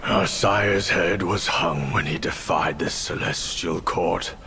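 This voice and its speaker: A man's voice: deep voice, creaky, noisy background, heavily acted. A man voicing a grave, vengeful male dragon spirit in a video game cutscene, speaking in a solemn, heavy way. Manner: solemn, heavy slow delivery. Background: music.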